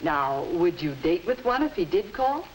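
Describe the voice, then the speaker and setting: Low-voiced, lilting concerned mother. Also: very animated, moderate pace, poor audio. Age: middle-aged. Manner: hesitant, careful, motherly. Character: concerned, gently probing mother of a teenage girl. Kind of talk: 1950s instructional film acting. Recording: hissy 1950s educational film soundtrack.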